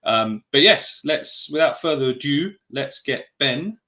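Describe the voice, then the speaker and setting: A man's voice: medium pitch, expressive, a little slow, bright, forceful tone. A man with a British accent hosting a live podcast-style stream, chatting casually, greeting viewers and wandering off on asides. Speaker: podcast host.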